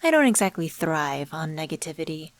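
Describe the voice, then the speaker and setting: Enigmatic deal-maker played by a woman, lowish voice, dry close sound. Suave, knowing, condescending, teasing the listener in an ASMR audio drama. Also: very animated, no room sound. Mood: smug, taunting. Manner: measured, teasing, rhetorical questions, condescending endearments. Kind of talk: scripted ASMR roleplay monologue spoken to the listener.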